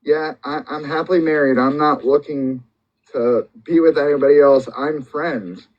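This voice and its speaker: A man's voice: fairly high pitch, fairly even intonation, moderate pace. A man hosting a casual live stream, talking emphatically and defensively and often repeating himself. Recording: dull lo-fi stream audio.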